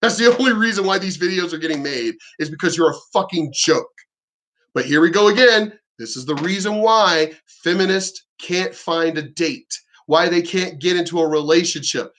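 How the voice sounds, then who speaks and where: High, bright-voiced man ranting crudely. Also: normal speed. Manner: combative, profane, mocking. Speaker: reaction commentator. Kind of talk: ranting reaction commentary.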